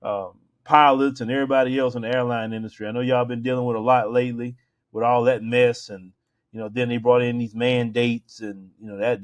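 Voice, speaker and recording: A man's voice: mid-range voice, dull audio. Emphatic American man, casual commentary. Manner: colloquial, conversational, emphatic, repeats for emphasis. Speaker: show host and commentator.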